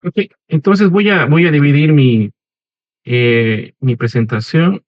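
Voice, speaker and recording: A man in his forties from Lima with a Peruvian accent and some Portuguese-tinged wording. He is a professor giving an unhurried, conversational webinar talk, tagging his sentences with "¿no?" and "¿eh?". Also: fairly high pitch, no room sound. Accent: Peruvian Spanish with Portuguese-tinged wording. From Peru, Lima. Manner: unhurried and conversational, with frequent "¿no?" and "¿eh?" tags. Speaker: professor, guest speaker. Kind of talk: webinar presentation. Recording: slightly muffled online call audio.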